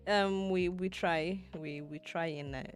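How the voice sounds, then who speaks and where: A young woman in her twenties, live-streaming as she edits and chatting with viewers, thinking aloud with soft laughs. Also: fairly low pitch, fairly even intonation, measured pace, faint music behind. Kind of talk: livestream, talking to chat while editing.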